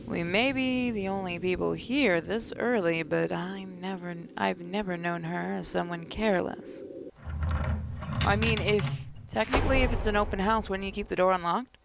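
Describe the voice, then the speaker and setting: A woman's voice: mid-range voice, moderate pace, vocal fry, background noise. A woman hosting a gaming video, reading visual novel text aloud expressively with casual commentary.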